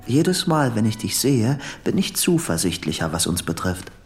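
A man's voice: lowish voice, sing-song, normal speed, soft background music. An actor in a radio drama playing an obsessive, deluded lovesick man, intense and insistent. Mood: pleading.

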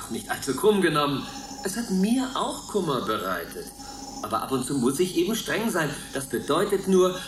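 An actor playing a pompous, strict warden of a space oasis in a radio play, talking in an officious, patronizing manner. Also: male voice, a little high, reverberant, noisy background. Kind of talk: German radio play acting.

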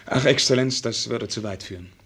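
An actor playing a melancholy young prince in a radio drama, weary of courtly formality and declaiming with theatrical bitterness. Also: male voice, medium pitch, a little fast, fairly bright tone, slightly rough. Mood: fervent. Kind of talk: German radio drama acting.

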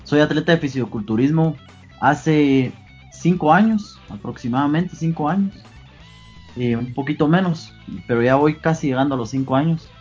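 A man in his twenties from Guatemala with a Guatemalan Spanish accent, answering interview questions earnestly, pausing often with fillers. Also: fairly high pitch, a little mellow, breathy edge, highs cut off, soft background music. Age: 20s. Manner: earnest, pauses with many fillers. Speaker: guest athlete.

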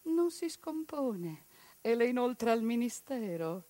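A woman performing a one-woman theatre monologue, speaking with passion and swinging between hushed lines and forceful ones. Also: a little high, very animated, measured pace, no echo.

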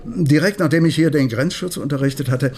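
A man's voice: fairly high pitch, brisk, darkish tone, natural voice. An actor playing a wry, officious male police investigator in a radio crime drama, explaining step by step. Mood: matter-of-fact.

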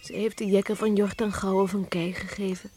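A woman's voice: mid-range voice, flat delivery, normal speed, mellow, close to an everyday voice. An actress playing a tender, anxious village woman in a Dutch radio play, earnest and emotional. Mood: quiet, sorrowful. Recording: noisy old radio play recording.

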